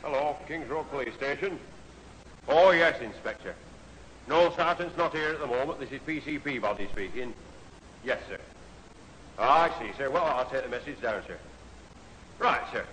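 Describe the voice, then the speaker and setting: An actor playing a long-suffering, officious police constable in a 1970s radio sitcom. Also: male voice, medium pitch, lively intonation, moderate pace, long pauses, a bit bright, natural voice. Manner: officious, weary, exasperated. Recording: reverberant, slightly noisy old radio recording.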